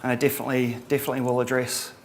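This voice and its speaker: A middle-aged man from New Zealand with a Kiwi accent, giving a relaxed conference talk with lots of ums and a dry sense of humor. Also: medium pitch, very fast, slight background noise. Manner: casual, many ums and uhs, dry humor. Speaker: conference presenter.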